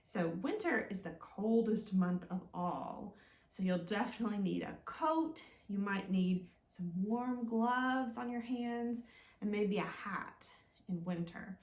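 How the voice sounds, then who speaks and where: A woman's voice: mid-range voice, slow, a little mellow. A woman hosting a children's storytime, reading and explaining in a sing-song way with simple, encouraging questions. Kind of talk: read-aloud and teaching for young children. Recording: echoey room, mic at a distance.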